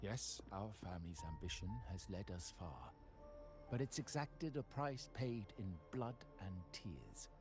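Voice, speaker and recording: A scheming middle-aged uncle in a video game, his voice pitched low and smooth. He coaxes and warns in the same breath, swinging his intonation widely, with game music playing underneath. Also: animated, a little slow. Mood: coaxing menace. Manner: smooth, coaxing, quietly threatening. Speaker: game character.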